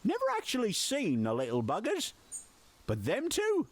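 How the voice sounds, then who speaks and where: A whimsical gardener creature from a fantasy video game. The voice is high and leaps all over in a sing-song, jovial way. It sounds homely, eccentric and good-hearted. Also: male voice, wildly swooping pitch, moderate pace, heavily acted. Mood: warm, musing.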